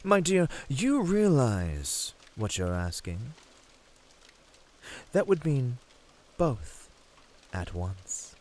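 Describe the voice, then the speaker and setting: A man's voice: mid-range voice, big pitch swings, extremely slow, long pauses, a little mellow. A man playing a smug, domineering demon with a British accent, teasing and purring theatrically in a flirtatious audio roleplay. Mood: seductive, amused.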